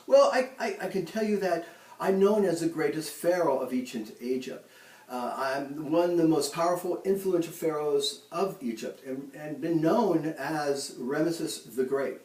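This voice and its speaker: A man playing a halting, proud pharaoh. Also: fairly high pitch, darkish tone, natural voice. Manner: hesitant, halting with many ums and restarts. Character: ancient Egyptian pharaoh, adult man, proud. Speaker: guest in a mock interview. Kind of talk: amateur school-style role-play interview. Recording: echoey room, voice from a distance.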